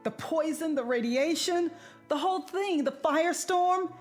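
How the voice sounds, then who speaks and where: Radio drama mother, American: high-pitched, anxious, worn out and near panic, faint music beneath. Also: measured pace. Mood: weary, fearful. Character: frightened, weary wife and mother near panic.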